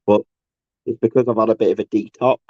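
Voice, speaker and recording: A man with a croaky, tired voice and a sore throat from a cold, rambling casually and haltingly as a solo video host, repeating himself and swearing in exasperation. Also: fairly low pitch, little pitch movement, darkish tone, no echo. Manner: halting, repetitive, searching for words. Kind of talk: casual rambling monologue to camera. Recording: dull, lo-fi sound.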